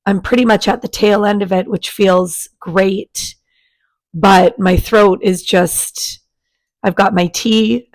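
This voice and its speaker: Raspy woman recovering from a cold. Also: mid-range voice, poor audio. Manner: gentle, chatty, with many fillers and restarts. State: raspy from a sinus virus. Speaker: spiritual coach and channel host. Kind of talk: casual monthly energy forecast.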